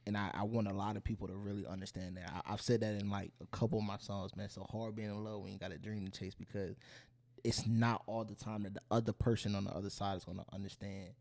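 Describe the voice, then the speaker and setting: A man hosts a podcast and keeps affirming his guest with short, supportive replies. His voice is low and mellow, and he talks in a relaxed, casual way. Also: fast talker. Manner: affirming, chimes in with "absolutely" and "I feel that". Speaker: podcast host. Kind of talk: casual interview chat.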